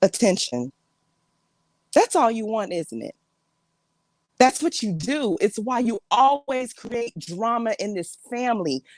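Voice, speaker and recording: A woman's voice: a little high, sing-song, unhurried, pauses often, a little mellow, no room sound, pitched above the performer's own voice. A woman performing a melodramatic monologue as an exasperated woman confronting an attention-seeking relative. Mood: bitter, accusing. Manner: accusing, exasperated.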